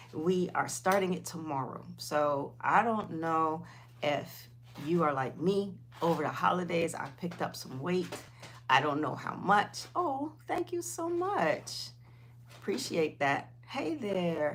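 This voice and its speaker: Slow-talking woman, lowish voice. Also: a little hiss or hum. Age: 50s. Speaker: host of a weight loss livestream. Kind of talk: casual livestream chat, replying to viewers.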